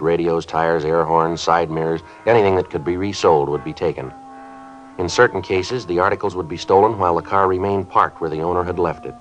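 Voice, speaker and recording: An actor playing a terse, matter-of-fact American police sergeant in an old radio crime drama, with a flat, businesslike delivery. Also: male voice, low-pitched. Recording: dull, band-limited old radio recording.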